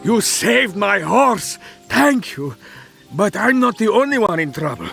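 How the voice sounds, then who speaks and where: An actor playing a frantic farmer in a video game, exclaiming with great urgency. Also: male voice, high voice, sing-song, a little slow, creaky, sharp tone, breathy edge, faint music behind, exaggerated voice. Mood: frantic, pleading. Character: frantic farmer in a besieged ancient village. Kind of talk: video game character dialogue.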